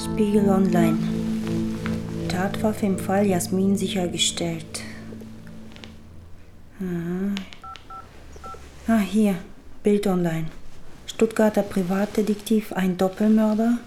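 An actress playing a practical, worried woman in a radio play, speaking briskly and insistently. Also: mid-range voice, fairly even intonation, warm tone, faint music behind, lightly acted. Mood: attentive, reading aloud. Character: practical, worried woman urging a friend to hide.

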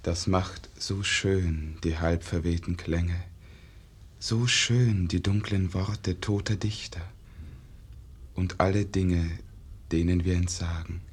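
An actor playing a melancholy, grief-numbed painter's pupil, declaiming elegiac verse in a 1950s radio drama. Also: male voice, deep voice, steady tone, slow, slightly rough, heavily acted. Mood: wistful.

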